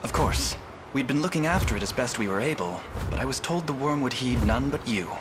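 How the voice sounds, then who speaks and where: An actor playing a noble, resolute young prince and army leader in a fantasy game, speaking in a courtly, earnest, formal way. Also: male voice, mid-range voice, lively intonation, fairly quick, noisy background, lightly acted. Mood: courteous. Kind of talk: dramatic fantasy game voice acting. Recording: loud orchestral music behind.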